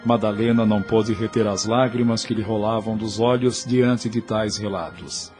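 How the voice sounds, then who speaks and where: A man narrating a scripted audio novel in a solemn, measured storytelling manner. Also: on the low side, little pitch movement, darkish tone, faint music behind.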